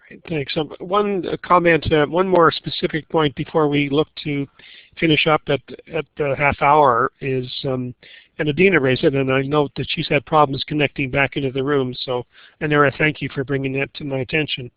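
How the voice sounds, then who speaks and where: A man's voice: on the high side, steady tone, normal speed, dry recording. A man moderating a panel over a web call, his speech full of fillers and self-corrections. The audio is dull and lo-fi, with no room sound at all. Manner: hesitant, many fillers and restarts. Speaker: webinar moderator. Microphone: computer mic on a web call.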